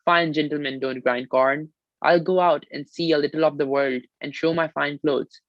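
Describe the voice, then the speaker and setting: A man's voice: fairly high pitch, fairly even intonation, normal speed, no echo, muffled recording, close to an everyday voice. A man voicing a vain, self-important old fairy sprite in a folk tale. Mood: proudly defiant.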